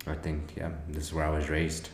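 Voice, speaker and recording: A man from Mexico co-hosting a casual podcast as a youth mentor, relaxed and quick to laugh. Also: very deep voice, reverberant, a little hiss or hum.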